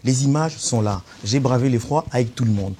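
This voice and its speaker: A man's voice: medium pitch, a little fast, warm tone. A man of Congolese origin, a political candidate, arguing his case in a debate, insistent and emphatic, often repeating words. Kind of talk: debate on a web TV show.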